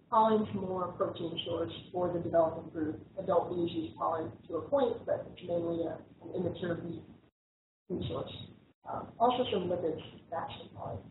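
A woman giving a relaxed slide lecture on bees, with frequent ums and restarts. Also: mid-range voice, a little hiss or hum. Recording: echoey, tinny, distant room recording.

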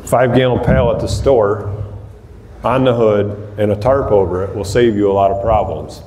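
Plainspoken workshop presenter, thirties, low mellow voice, recorded far off in a boomy hall. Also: male voice, normal speed. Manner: plainspoken, practical, frequent ums and restarts. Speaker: demolition derby builder giving a seminar. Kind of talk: casual talk to a small audience. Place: large hall with rows of empty chairs, indoors. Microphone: far camera across the room. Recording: echoey hall, faint background hiss.

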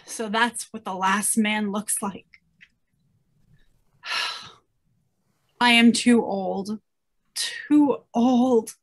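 A middle-aged woman playing a weary, distraught old scientist, the last human, in a halting theatrical radio-drama style. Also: on the high side, fairly even intonation. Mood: anguished. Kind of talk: 1930s radio drama acting. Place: indoors at home on a video call.

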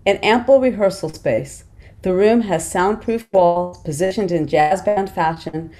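A woman's voice: on the low side, unhurried, warm tone, soft background music. A middle-aged woman reading screenplay scene directions as scripted narration, recorded on a video call. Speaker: reader of stage directions.

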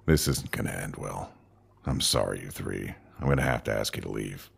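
Very deep, gravelly, commanding leader. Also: male voice, moderate pace, heavily acted. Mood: grave, firm. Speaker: voice actor. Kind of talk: sci-fi audio drama acting.

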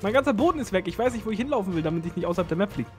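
Young gamer dude, high voice, talks fast n complains in slang, music behind. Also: male voice, lively intonation, a little mellow. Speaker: fellow player on voice chat. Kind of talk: casual gaming banter.

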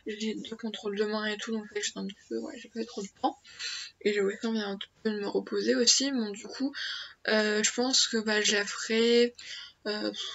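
A woman's voice: a little high, a bit bright. A teenage girl chatting casually in her small attic bedroom, full of fillers and restarts, recorded on a built-in webcam mic. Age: teens. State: stuffy nose from a cold. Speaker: beauty vlogger. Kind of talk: casual daily unboxing vlog. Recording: dull lo-fi webcam audio with faint noise.

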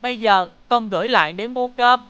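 A woman's voice: fairly high pitch, a little fast, sharp tone, clear, resonant tone, lo-fi sound. A woman voicing an eager little schoolboy in a children's cartoon, with childlike, exclamatory phrasing. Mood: earnest.

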